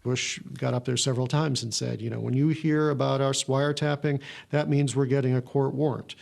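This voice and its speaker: A man's voice: mid-range voice, steady tone, fairly quick, gravelly, darkish tone. An American man talking conversationally as an interviewee in a documentary. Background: background music.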